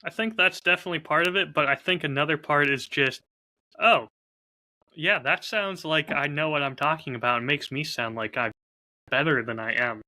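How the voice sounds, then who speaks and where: A man's voice: a little high, normal speed, bright, forceful tone. A man chatting casually as a podcast co-host, with a wry, self-deprecating way of talking. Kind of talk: casual chat.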